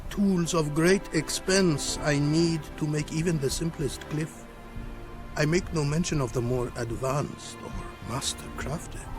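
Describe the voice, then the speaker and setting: An actor playing a courteous, proud scholar-artisan in a video game, speaking in formal, inverted, archaic phrasing. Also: male voice, on the high side, over music, natural voice. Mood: cautious, earnest. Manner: formal, inverted archaic syntax, deliberate emphasis. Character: courteous, proud foreign male rune craftsman, melancholy scholar. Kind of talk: video game cutscene acting.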